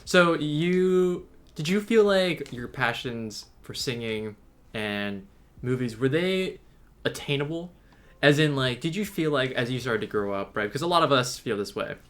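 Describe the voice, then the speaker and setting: A man's voice: mid-range voice, expressive, unhurried, fairly bright tone. A young man co-hosting a casual podcast, joking around and asking long, rambling questions. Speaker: podcast co-host.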